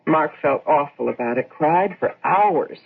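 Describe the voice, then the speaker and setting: A woman's voice: deep voice, lively intonation, measured pace, creaky, breathy edge, full character voice. An actress playing a practical young housewife and mother, speaking conversationally in an old-time radio drama. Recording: noisy, phone-like old radio broadcast.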